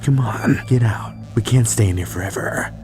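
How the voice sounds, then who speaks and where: A man voicing a stern, battle-hardened soldier with a gravelly growl and terse delivery, as in a video game dub. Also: on the low side, normal speed, pitched below the performer's own voice, lightly acted. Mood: hard, accusing. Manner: terse, interrogating, deadpan. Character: gruff, stern, battle-hardened male soldier, a lone operative. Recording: loud music underneath. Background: loud music.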